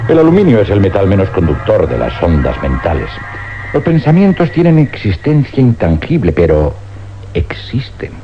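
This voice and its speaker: An actor playing a weary older scientist in a suspense radio drama, speaking with grave deliberation and dramatic pauses in an accent from Spain. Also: male voice, lowish voice, wildly swooping pitch, soft background music. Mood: grave, haunted. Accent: Spanish, from Spain. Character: weary older scientist confessing an uncanny experiment. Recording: dull, noisy old radio recording with hum.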